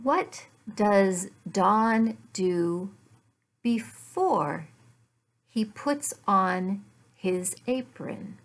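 A middle-aged woman, an English teacher, giving a lesson in deliberate, word-by-word phrases with repeated questions and answers. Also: medium pitch. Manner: deliberate, word-by-word enunciation for learners. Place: small furnished room at home.

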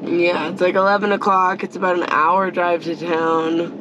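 A young woman chatting casually to a handheld camera inside a small camper van, telling the story in an animated way, with music underneath. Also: on the low side, moderate pace, noisy background. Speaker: van life vlogger. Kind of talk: casual vlog chat. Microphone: handheld action camera at arm's length.

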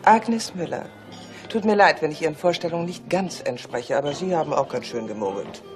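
A shrewd policewoman in a German radio drama. Her voice sits on the low side and she sounds self-assured and teasing, with music clearly behind her. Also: expressive, a little fast. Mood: teasing, confident. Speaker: police officer.

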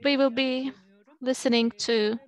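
A woman in her thirties moderating a webinar panel over a video call from home, brisk and mindful of time. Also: sits high, level tone. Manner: brisk, apologetic about time.

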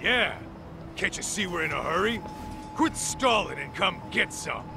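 A cocky brawler, extremely gravelly and animated. He talks rough and slangy, taunting like a game tough guy, over prominent music. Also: high voice, measured pace, exaggerated voice. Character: tough, cocky male street brawler sidekick. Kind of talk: video game cutscene dub acting.

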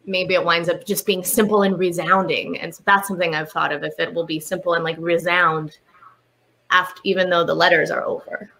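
A woman's voice: fairly low pitch, bright, forceful tone. A woman translator musing on a video call panel, full of fillers and trailing thoughts. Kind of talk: reflective panel discussion.

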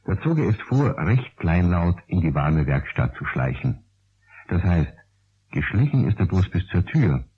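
Deep, husky male storyteller. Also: very animated, unhurried. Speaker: narrator. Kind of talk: storytelling narration for a radio play. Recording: dull old radio play recording.

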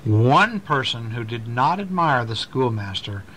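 A man reads a bedtime story to a young child in an expressive, mid-pitched voice. He pauses to explain and to check with little questions. It is a homemade recording with faint noise in the background. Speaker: parent reading a bedtime story. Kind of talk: storytelling read aloud to a small child, with asides. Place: at home, reading to a child. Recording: noisy amateur home recording.

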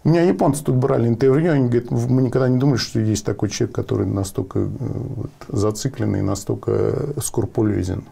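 A man's voice: medium pitch, fast talker, gravelly, hardly any pauses, warm tone, slightly rough. A middle-aged man answering in an interview as a sound engineer, earnestly repeating and rephrasing his points. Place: sound studio, indoors. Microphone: lapel.

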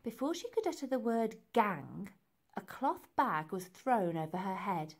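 A woman reading a children's story aloud in an expressive, deliberate and emphatic way. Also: medium pitch. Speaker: storybook reader.

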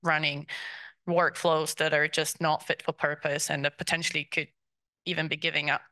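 A woman's voice: deep voice, flat delivery, moderate pace, bright, forceful tone. A woman in her thirties speaking as a panelist on a conference stage into a handheld mic, thoughtful and hedging with fillers. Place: conference stage, large hall.

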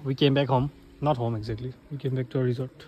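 A man's voice: mid-range voice, steady tone, very fast, mellow, a little hiss or hum. Indian man vlogging, muffled phone sound. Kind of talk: casual vlog narration, mixing languages.

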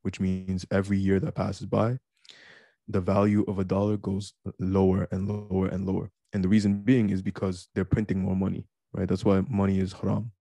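A man explains his point in a lecturing way in a very flat, low voice. His tone is gravelly and husky, and the sound is dry. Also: moderate pace, no room sound. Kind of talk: casual podcast chat.

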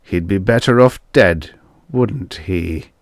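A man's voice: low voice, slow pace, gravelly, no echo, clean studio sound, heavily acted. A man voicing a hard, calculating television producer with a harsh, solemn, ominous manner in a story. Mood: darkly solemn.

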